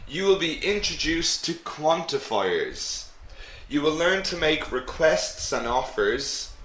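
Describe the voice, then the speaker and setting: A man teaching an English grammar lesson to students in a slow, clear way. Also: on the high side, very animated, fairly bright tone, echoey room, poor audio.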